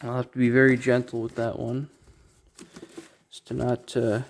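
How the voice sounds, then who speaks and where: A man giving casual unboxing commentary as a collector, thinking aloud in a halting way. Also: mid-range voice, monotone. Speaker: record collector, video host.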